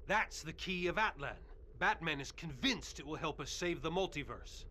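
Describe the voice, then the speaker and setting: An actor playing a brisk, upbeat hero in a video game, eager and matter-of-fact. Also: male voice, fairly high pitch, animated, sharp tone, a little hiss or hum. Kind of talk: video game cutscene acting.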